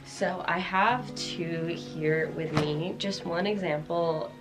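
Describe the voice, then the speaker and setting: Young woman vlogging, low voice, bit croaky from a cold, lots of likes and ums, music behind. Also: takes it slowly. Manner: chatty, rambling, lots of fillers. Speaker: fashion vlogger. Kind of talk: casual chat showing clothes.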